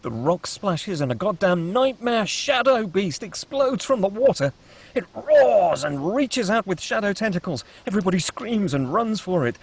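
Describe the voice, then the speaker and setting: A man with a husky voice reading scripted screenplay action as the narrator of a table read. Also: high-pitched, very animated, normal speed.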